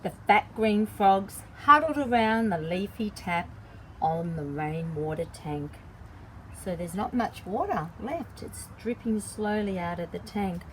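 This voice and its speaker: Storytime educator, female. Unhurried, lowish pitch, stretched key words, noticeable background rumble. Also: low-quality recording. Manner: simple explanatory asides, drawn-out emphasis on key words. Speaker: children's story time host and early childhood educator. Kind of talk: read-aloud picture book storytelling for young children.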